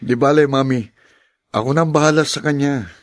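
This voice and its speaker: A man's voice: medium pitch, moderate pace, no room sound, lightly acted. A man playing a sly, scheming young son in a radio drama, insinuating in manner. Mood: sly, conspiratorial. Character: sly young adult son, scheming alongside his mother.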